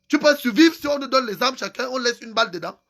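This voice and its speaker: Male activist, unusually high-pitched, angry and insistent. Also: animated, fast talker, a bit bright. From Cameroon. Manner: angry, insulting, repeats phrases for emphasis. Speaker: activist vlogger. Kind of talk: impassioned political rant.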